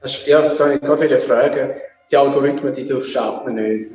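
A man's voice: medium pitch, fairly even intonation, fairly quick, a little mellow, distant mic. A man who hosts a casual interview and asks curious, probing questions. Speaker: host. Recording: roomy, faint music.

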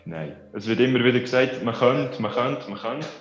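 A man's voice: mid-range voice, expressive, normal speed. Reflective Swiss German-accented man. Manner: reflective, hesitant, thinking aloud. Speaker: guest. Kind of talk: casual interview chat. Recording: roomy, dull lo-fi, faint music.

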